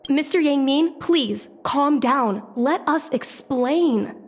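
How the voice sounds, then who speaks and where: An actress playing a curious, determined young woman, a daughter in a video game dub, with an earnest, measured delivery. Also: high voice, lively intonation.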